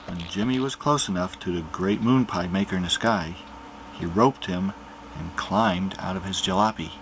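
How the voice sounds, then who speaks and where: A man narrating a children's picture book aloud in an animated storytelling manner. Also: low voice, normal speed, noisy background.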